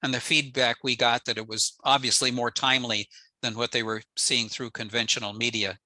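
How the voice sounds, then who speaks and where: A man's voice: medium pitch, flat delivery, brisk, pushed and bright, no room sound. An older American man giving an informal slide presentation in a matter-of-fact, explanatory way. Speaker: presenter, amateur radio operator. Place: indoors on a video call.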